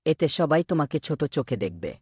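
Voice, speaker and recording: A man voicing a kindly, concerned male schoolteacher in a cartoon, speaking gently and earnestly. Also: a little high, very animated, fairly quick, breathy edge, dry recording, studio quality, exaggerated voice. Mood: advising. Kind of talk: cartoon voice acting.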